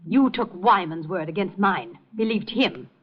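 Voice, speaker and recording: A woman's voice: lowish voice, animated, unhurried, breathy edge. An actress playing an icy, imperious, mocking woman in a 1950 radio drama, with a cold, clipped, commanding delivery. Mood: scornful. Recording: dull old radio recording, faint music.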